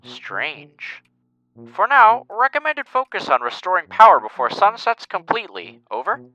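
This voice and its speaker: A man's voice: sits high, huge pitch swings, normal speed, sharp tone, dull audio, faint music behind, heavily acted. An actor playing an earnest, paranoid boy acting as military mission control, speaking in clipped radio talk in a fan dub. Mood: serious, alert.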